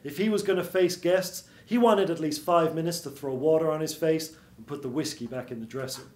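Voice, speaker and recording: A man in his thirties narrates a noir tale in radio-play style. His voice is fairly high for a man and carries the roomy sound of a small theatre stage. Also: a little fast, a little mellow. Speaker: storyteller. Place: small theatre stage before seated audience.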